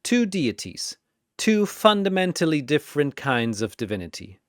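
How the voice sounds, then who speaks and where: A man's voice: fairly high pitch, very animated, moderate pace, dry recording, clean studio sound. A man narrating a scripted fantasy battle in a dramatic storytelling style.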